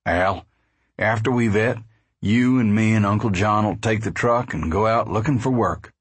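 A man voicing a stern, suspicious middle-aged farmer father in a storybook, gruff and folksy. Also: on the low side, measured pace, dry recording.

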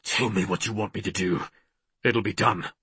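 Man voicing a fierce Scottish werewolf, rough-voiced. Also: on the low side, fairly even intonation, moderate pace, pushed and bright, dry recording, pitched below the performer's own voice, exaggerated voice. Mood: grimly controlled. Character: fierce Scottish male werewolf, protective of his mate.

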